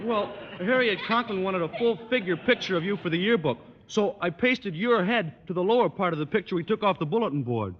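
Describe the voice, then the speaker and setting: A man's voice: high-pitched, lively intonation, a little fast, few pauses. A man playing a dim, earnest teenage schoolboy with gee-whiz delivery in an old radio comedy. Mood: sheepish, earnest. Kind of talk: 1940s radio comedy acting. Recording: noisy, muffled old radio, echoey hall.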